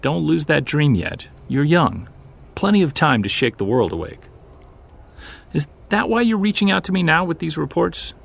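An actor playing a seasoned middle-aged Sasquatch hunter in an audio drama, passionate, wry and reflective, telling his story in a measured way. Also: on the high side, sing-song, vocal fry, slightly rough, exaggerated voice. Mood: earnest, encouraging. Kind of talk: naturalistic audio drama dialogue.

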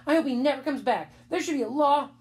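Woman playing a high, whiny tattletale girl. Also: close to an everyday voice. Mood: sniffy. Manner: whiny, indignant, drawn-out complaining. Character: Sheila, whiny indignant tattletale schoolgirl. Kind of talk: children's storybook narration.

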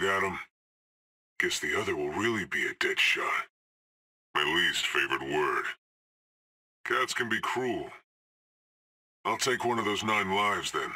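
A man's voice: sits low, bright, forceful tone, husky, full character voice. An actor playing a cocky, cool male hired assassin in a video game, trading dry, sardonic one-liners.

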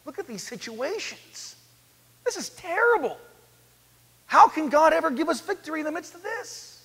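A man in his thirties preaching an animated sermon on a church stage, swinging from loud to hushed. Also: very high-pitched, moderate pace. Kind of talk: lively sermon. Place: church sanctuary, large, indoors. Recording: echoey church hall.

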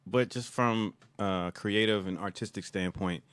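A man's voice: fairly low pitch, a little slow, a bit bright, smooth, dry recording. A man chatting casually and bluntly with a co-host on a podcast.

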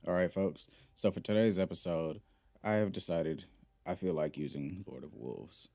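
Deep gravelly dude talking through his game, laid back, lots of uhs, music faint behind. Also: normal speed, mellow, dry recording, studio quality. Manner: laid-back, rambling, many fillers. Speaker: gaming video host. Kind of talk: casual gameplay commentary.

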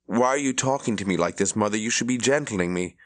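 A man's voice: fairly low pitch, quick pace, a bit bright, no room sound, lightly acted. Man doing an earnest young shepherd.

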